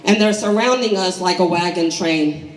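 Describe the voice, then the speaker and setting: A hoarse woman in her sixties from New York City gives an emphatic, deliberate prepared speech at a community meeting. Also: on the low side. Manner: emphatic, deliberate rhetorical delivery. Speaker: community housing activist. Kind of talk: prepared public speech at a town hall. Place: large indoor community hall. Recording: echoey hall, distant microphone.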